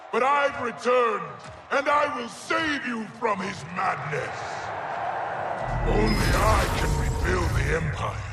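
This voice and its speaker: A huge, ruthless warlord emperor in a game cutscene. His bellowing, domineering delivery comes slow and from far back in a roomy echo. Loud music plays under him. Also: sits high, exaggerated voice.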